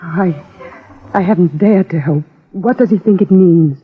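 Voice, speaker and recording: An actress playing an anxious, genteel wife of a missing planter in an old-time radio drama, hesitant and restrained. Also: female voice, lowish voice, unhurried, breathy edge. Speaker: supporting actress. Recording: tinny, noisy vintage radio.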